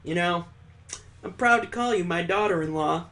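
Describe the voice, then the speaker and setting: A man's voice: high-pitched, moderate pace, bright, forceful tone. A man voicing a jovial, sentimental old father-in-law, hearty and earnest, in a visual novel read aloud.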